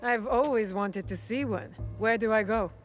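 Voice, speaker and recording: A woman's voice: a little high, normal speed, faint music behind, natural voice. A woman playing a guarded, vengeful young warrior in a video game, terse and direct. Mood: cool, determined. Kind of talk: dramatic video game cutscene acting.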